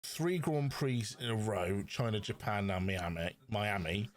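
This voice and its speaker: A man's voice: on the low side, measured pace. Man chatting as a podcast co-host. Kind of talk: casual motorsport discussion.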